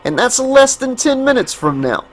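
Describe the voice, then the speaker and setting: A man voicing a frightened middle-aged sanitation worker with a thick Bronx accent, talking fast and urgently. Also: big pitch swings. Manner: panicky, urgent, high-strung.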